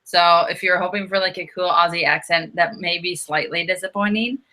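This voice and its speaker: American woman co-host, bright, lowish voice, chatty and casual. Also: steady tone, normal speed. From United States. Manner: chatty, self-deprecating, says "like" often. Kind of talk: casual chat.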